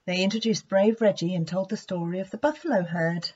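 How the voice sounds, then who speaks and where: A woman reading a children's story aloud in an expressive, deliberate storytelling manner. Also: mid-range voice, slightly rough. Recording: dull lo-fi sound.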